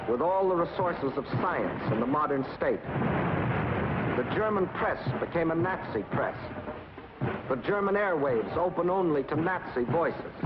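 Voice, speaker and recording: A man's voice: on the high side, dull audio, background noise. An American man delivering scripted 1940s propaganda film narration in a dramatic, emphatic manner with clipped phrases, on an old film soundtrack. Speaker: documentary narrator.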